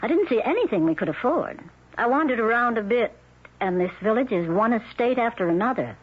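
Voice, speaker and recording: A skeptical young wife asking questions in a vintage radio drama. Her voice is a bit high, breathy and very lively. The recording sounds muffled and lo-fi. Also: a little fast. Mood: musing. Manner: curious, questioning. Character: curious wife touring a posh club, skeptical. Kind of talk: 1970s radio drama acting. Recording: dull lo-fi old radio recording.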